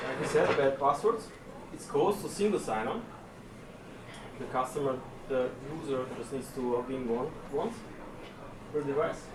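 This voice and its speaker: A man delivers a conference tech talk in an easy, conversational way, often stopping on an "uh" as he goes. His voice sits at a middling pitch and is picked up from a distance, so it sounds a little far off. A roomy echo and steady background noise fill the space around him. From Serbia. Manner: relaxed and chatty, pausing often on fillers. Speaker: conference speaker. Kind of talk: technical presentation. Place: conference session room. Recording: echoey room, distant mic, room noise.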